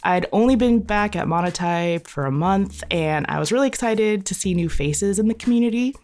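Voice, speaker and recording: A woman's voice: medium pitch, animated, moderate pace, darkish tone. A woman guest-hosting a podcast interview, upbeat and conversational. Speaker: guest host.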